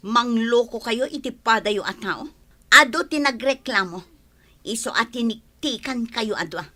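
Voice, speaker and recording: A woman's voice: fairly high pitch, animated, measured pace, pushed and bright, full character voice. A woman playing an outspoken woman in a radio drama, speaking with an Ilocano Filipino accent. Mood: stern accusing.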